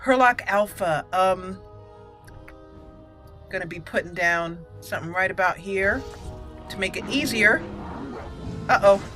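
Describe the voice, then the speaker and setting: A woman's voice: medium pitch, a little slow, pauses often, music in the background. A woman hosting a roleplay game playthrough, giving casual, chatty, playful commentary. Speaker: gaming channel host.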